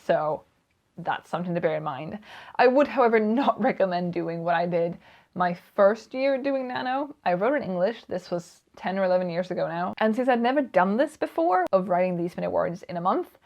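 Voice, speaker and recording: A woman's voice: on the low side, expressive, moderate pace, muffled recording. A young Swedish woman vlogging casually about writing, speaking English with a Swedish accent in a chatty, self-deprecating way. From Sweden. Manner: chatty, self-deprecating, tends to restart and repeat words. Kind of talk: casual talking-head vlog.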